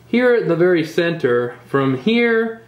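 A man's voice: high voice, very animated, unhurried, highs cut off. Man demonstrating welding in a roomy shop. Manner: step-by-step explaining, addresses viewers as folks at home. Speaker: welding instructor. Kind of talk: on-camera workshop demonstration. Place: welding workshop. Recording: roomy workshop sound.

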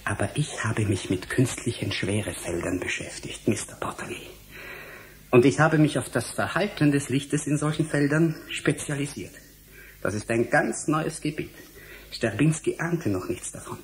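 An actor playing a young, irritable, self-absorbed scientist in a German radio drama, impatient and lecturing. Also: male voice, mid-range voice, expressive, normal speed, a little hiss or hum, close to an everyday voice. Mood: excited lecturing.

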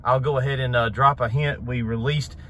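A man's voice: medium pitch, fairly even intonation, brisk, pushed and bright. A man, chatting casually to camera as a vlog host inside a vehicle. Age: 30s. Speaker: vlog host, pressure washing business owner. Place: inside a truck cab, driving then parked. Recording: in-car recording with road noise.

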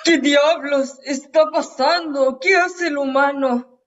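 Over a dull, distant video call, a performer plays a worried tomato in a high voice. The words come slowly, full of stubborn questions, as in an improvised radio play. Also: a bit bright. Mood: puzzled, worried. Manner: slow, questioning. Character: worried tomato who questions the humans and wants wind.

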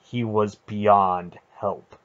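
A man's voice: on the low side, a little slow, sharp tone. An ancient sorceress aunt, acted out by a male narrator, stern and imperious. She speaks with cutting, commanding intensity, as in a fantasy storybook. The recording is slightly dull and muffled. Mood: cold, flat. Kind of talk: dramatic audiobook character voice.

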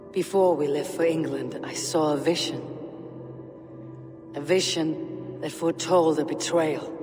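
An actor playing a weary Viking warrior haunted by a vision, speaking solemnly and reflectively. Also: male voice, on the high side. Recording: reverberant game mix with music.

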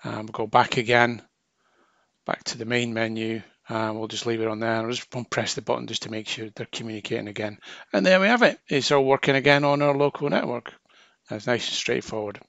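Male technical trainer, bright and gravelly, close dry sound, informal step-by-step walkthrough delivery. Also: mid-range voice, a little fast, dry recording, lo-fi sound. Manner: plain-spoken, step-by-step. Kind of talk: informal hands-on tutorial narration.

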